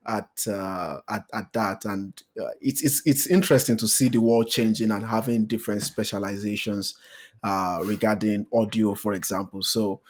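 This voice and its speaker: A man from Nigeria with a Nigerian accent, hosting a podcast interview in a relaxed, hesitant way with many fillers and repeated words. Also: on the low side. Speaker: podcast host. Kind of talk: conversational interview.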